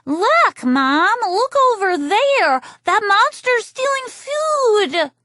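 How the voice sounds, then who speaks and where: A woman's voice: very animated, extremely slow, pushed and bright, dry recording, clean studio sound, exaggerated voice. A woman narrator voicing a little boy who cries out shrilly. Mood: alarmed. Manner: shrill, crying out.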